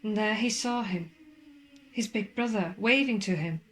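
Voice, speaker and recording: A woman narrates a ghost story in a theatrical, suspenseful manner, with deliberate pauses and formal, old-fashioned wording. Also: mid-range voice, soft background music, rough recording. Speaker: ghost walk guide and storyteller. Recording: clear background ambience under the voice. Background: spooky horror ambience sounds.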